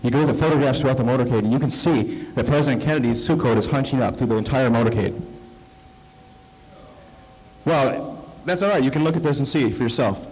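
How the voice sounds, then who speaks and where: A man presenting slides at a conference, halting with many fillers and restarts, fighting off a cold. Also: mid-range voice, a little mellow, slightly rough, poor audio. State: coming down with a cold. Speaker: conference speaker. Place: conference hall.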